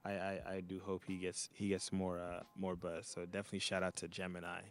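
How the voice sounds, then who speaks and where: A man's voice: low-pitched, completely flat delivery, brisk, faint music behind. A man from Montreal chatting casually as a DJ co-host on a video call, rambling and loose with lots of fillers. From Montreal, Canada. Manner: laid-back, rambling, many fillers. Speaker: DJ and podcast co-host. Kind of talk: casual chat. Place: home, child playing nearby.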